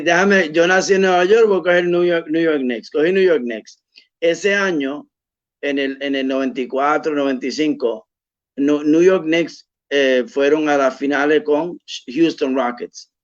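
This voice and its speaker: Man, slow and hesitant, fairly high voice, dull lo-fi recording. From United States. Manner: hesitant, many fillers and restarts. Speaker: imam telling his conversion story. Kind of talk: personal storytelling. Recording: dull lo-fi sound.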